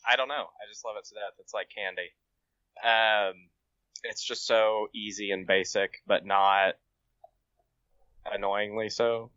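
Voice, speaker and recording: Man for casual podcast chat, bright tense tone, offhand, close dry dull audio. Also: lowish voice, fairly even intonation, a little slow, long pauses, dry recording. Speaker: podcast guest, fan fiction author.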